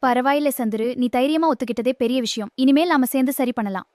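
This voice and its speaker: A woman's voice: high-pitched, fast talker, darkish tone, soft background music, natural voice. A woman voicing a sensible, caring young fawn in a children's cartoon, speaking in an earnest, cautioning way. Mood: relieved, forgiving. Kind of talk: children's animated moral story dialogue.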